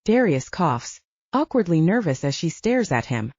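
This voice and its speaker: Mellow woman narrating an audiobook. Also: fairly low pitch, sing-song, moderate pace, dry recording. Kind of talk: scripted dramatic story narration. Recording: dull, lo-fi sound.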